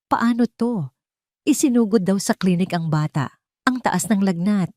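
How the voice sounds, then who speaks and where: A woman's voice: medium pitch, animated, moderate pace, dark tone, no room sound, clean studio sound. A woman with a Filipino accent narrating a dramatic first-person story in an emotive storytelling manner.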